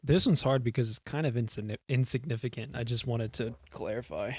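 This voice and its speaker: A man's voice: medium pitch, fairly quick, darkish tone, dry recording. Young texan guy from houston rambling on a podcast, laughs a lot, dry close mic. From Houston, Texas. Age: young adult. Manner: rambling, laughs often, swears casually. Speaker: podcast co-host. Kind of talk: casual chat. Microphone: desk mic, leaning in.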